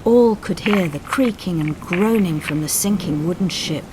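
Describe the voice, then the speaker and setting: Low female voice, dramatic story narration, expressive and suspenseful, storm effects faintly behind. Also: moderate pace, background noise. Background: rain, thunder and storm effects.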